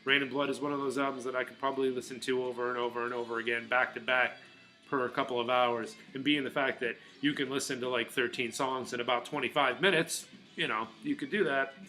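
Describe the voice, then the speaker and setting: A man's voice: medium pitch, fairly even intonation, fast, pushed and bright, soft background music, poor audio. A middle-aged man rambling casually to his viewers, loose and full of filler words and restarts. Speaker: vlogger.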